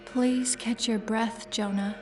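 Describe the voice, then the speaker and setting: Synthetic woman for sci-fi audio drama, female actor: composed, detached, polite, highish monotone voice. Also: distant mic. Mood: calm, guarded. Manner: measured, formal, detached. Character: calm, polite female synthetic android, guarded and formal. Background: music.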